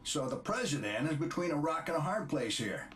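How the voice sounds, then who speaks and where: An actor playing a stern, authoritative middle-aged government chief, delivering a blunt briefing in a game drama. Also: male voice, fairly high pitch, sing-song, a little fast, fairly bright tone, far from the mic. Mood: grave.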